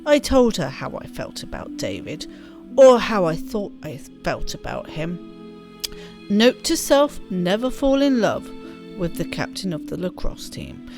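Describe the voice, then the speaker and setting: A woman's voice: on the low side, big pitch swings, unhurried, a little mellow, soft background music. Woman reading as bitter, betrayed teenage girl. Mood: bitter, hurt. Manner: bitter, dramatic self-talk. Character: wounded, betrayed, self-blaming rebellious eighteen-year-old girl.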